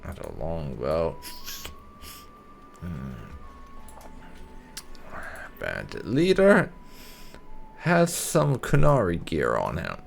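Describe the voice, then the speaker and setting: A man's voice: low-pitched, wildly swooping pitch, very slow, gravelly, long pauses, faint music behind. A man giving casual, dry let's play commentary on a video game, talking to the characters as he plays.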